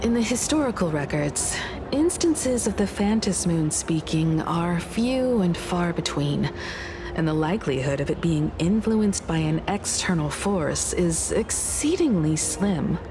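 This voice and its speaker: A woman voicing a composed, scholarly woman in an anime-style game, speaking with solemn, measured eloquence. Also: on the low side, lively intonation, noisy background. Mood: grave. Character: composed, scholarly woman archivist, grave and analytical.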